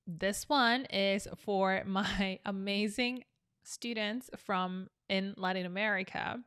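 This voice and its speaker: Young adult woman, friendly teacher, slow and dry. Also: medium pitch, fairly even intonation, fairly bright tone, clean tone, no echo. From South Korea. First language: Korean. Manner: chatty and upbeat, explaining with examples. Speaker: English teacher. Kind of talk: casual online English lesson.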